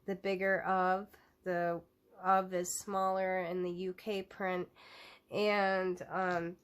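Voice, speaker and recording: A woman with a stuffy nose from a cold talks slowly through a coloring book flip-through. She chats casually and unhurriedly, often pausing on "um" and starting a phrase over. Her voice sits at an ordinary middle pitch. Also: clean tone. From United States. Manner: chatty, with frequent ums and restarts.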